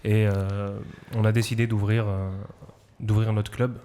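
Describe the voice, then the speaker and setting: Guest: man, lowish voice, halting, filler-heavy answers. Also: flat delivery, mellow, a little hiss or hum. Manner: hesitant, many fillers. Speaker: guest, combat sports coach. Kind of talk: radio interview.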